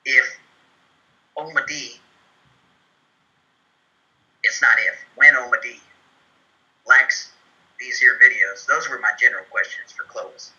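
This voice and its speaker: A man playing a simple, earnest Southern fellow with a put-on accent, speaking in a folksy, deliberate deadpan for a comedy tutorial. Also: medium pitch. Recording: muffled, telephone-like band-limited sound.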